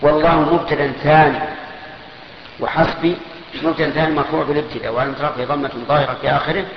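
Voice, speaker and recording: A man giving a grammar lesson, slowly parsing each sentence step by step and asking questions that he answers himself. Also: on the high side, fairly even intonation, a crowd in the background. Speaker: grammar teacher. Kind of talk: classical Arabic grammar lesson. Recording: echoey hall, dull lo-fi sound with faint noise.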